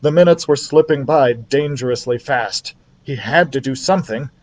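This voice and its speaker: A man's voice: medium pitch, moderate pace. A man narrating a children's storybook expressively, as a library storyteller reading aloud.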